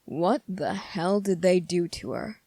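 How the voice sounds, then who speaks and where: A sardonic demon, played by an ASMR performer, speaks in a slow, gravelly drawl. The delivery is deliberate and condescending, with menacing pauses. The sound is dry and intimate, as in an audio roleplay. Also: medium pitch, lively intonation, darkish tone, no room sound, full character voice. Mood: cold, probing. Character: sardonic, menacing demon weighing a summoner's revenge plea. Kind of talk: dramatic ASMR roleplay monologue.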